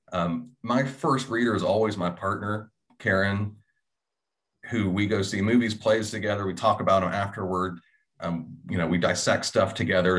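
A man's voice: low voice, fairly bright tone. A middle-aged man talking candidly and conversationally as a panelist on a video call, often checking in with a question. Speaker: panelist, audio drama writer. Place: indoor room at home, video call.

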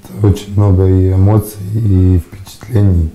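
A young man with a hoarse, worn voice speaking quietly and haltingly in an interview, recorded on a lapel mic in a studio. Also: low voice, steady tone. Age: young adult. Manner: quiet, halting, often dropping to a whisper. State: hoarse, lost his voice. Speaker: patient giving a testimonial. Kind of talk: interview testimonial. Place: studio, plain dark backdrop.

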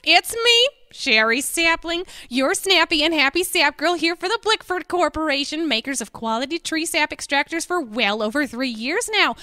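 Perky snappy sales gal, high bouncy pitch, radio comedy. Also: female voice, animated, normal speed, hardly any pauses, sharp tone, dry recording, clean studio sound. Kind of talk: comedic audio drama.

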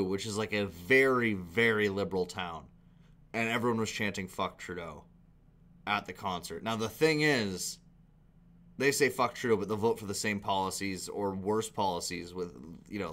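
Young man, Canadian accent, low voice, casual reaction commentary, sweary, exasperated. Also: a bit bright. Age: young adult. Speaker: reaction video host. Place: small indoor room at a computer.

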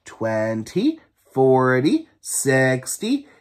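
A young man in an animated read-aloud counting lesson for small children, full of exaggerated wonder and questions. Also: mid-range voice, extremely slow. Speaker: teacher reading a children's book aloud. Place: small room at home, indoors.

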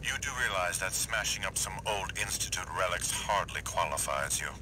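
An actor playing a haughty elite corporate officer in a video game, pompous, precise and condescending. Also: male voice, sits low, normal speed, background noise, natural voice. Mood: smug contempt. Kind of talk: scripted video game cutscene dialogue.

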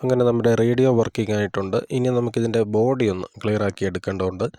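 A man's voice: lowish voice, fast talker, mellow. A man giving a casual hands-on tutorial, explaining plainly step by step as a hobbyist presenter.

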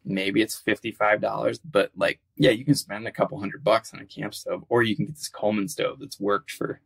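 Male podcast guest, low gravelly voice, easygoing and rambling, lots of fillers. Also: steady tone, a little fast. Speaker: guest, outdoor cookbook author. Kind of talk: casual interview talk.

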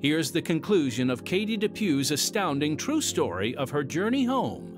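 A man's voice: on the high side, very animated, moderate pace, over music. An American man hosting an audio drama podcast, with scripted, folksy, theatrical narration aimed straight at listeners. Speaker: podcast host.

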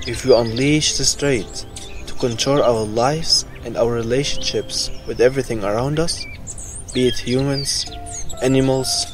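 Music and birdsong play behind a man acting a patient father in a children's audio story. His voice is medium in pitch, and he explains things in a measured, reassuring way. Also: natural voice. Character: calm, patient, gently wise father.

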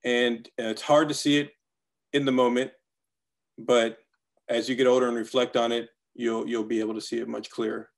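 A man's voice: medium pitch, flat delivery, moderate pace, vocal fry. A middle-aged American man giving a casual personal reflection on a video call.

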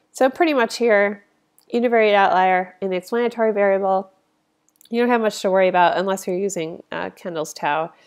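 A woman's voice: medium pitch, a little mellow. Sniffly woman narrating stats slides. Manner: informal, conversational, frequent fillers. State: sniffly with a cold. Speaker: statistics lecturer. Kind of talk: casual narrated slide lecture. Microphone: voice-over on slides.

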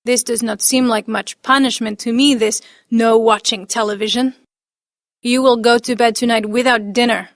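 Severe angry dad in a storybook, woman reading, slow, loud, dry close sound. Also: fairly high pitch, fairly even intonation, no room sound, close to an everyday voice. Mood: stern. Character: stern, angry immigrant father.

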